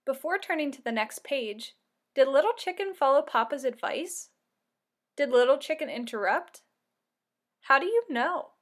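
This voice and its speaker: A woman's voice: high-pitched, lively intonation, moderate pace. A woman narrating a children's read-aloud like a teacher, giving instructions and asking questions.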